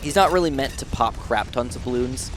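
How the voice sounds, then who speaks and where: A young man with a nasal voice giving rambling, excitable commentary while playing a video game. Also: mid-range voice, a little fast, a little hiss or hum. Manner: rambling, excitable, thinks aloud. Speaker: gaming channel host. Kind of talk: casual gameplay commentary.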